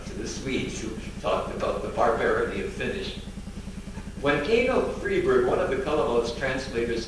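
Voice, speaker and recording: A man talking in a reflective, lecture-like way, stopping to think and filling gaps with "uh". He is picked up from a distance in a very echoey room. Background noise is clearly audible behind him. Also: mid-range voice. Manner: thoughtful, pauses with fillers to recall facts. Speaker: interviewee, author on the Kalevala.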